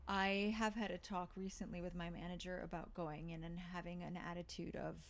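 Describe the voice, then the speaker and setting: A woman actor chats through a relaxed interview in a slightly low voice. She thinks out loud, circling back with fillers and restarts as she goes. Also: a little fast. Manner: thoughtful, with frequent fillers and restarts. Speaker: actor and guest. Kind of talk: casual interview talk.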